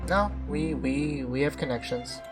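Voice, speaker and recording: A woman streamer chats casually over a game. Her voice is extremely low for a woman, and she talks in a hesitant, rambling way. Game music is clearly heard behind her. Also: measured pace.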